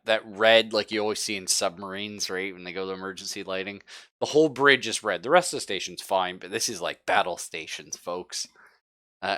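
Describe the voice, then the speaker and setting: Male game master, low register, informal and jokey, casually narrating a sci-fi horror tabletop session. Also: fairly bright tone, dry recording, clean studio sound.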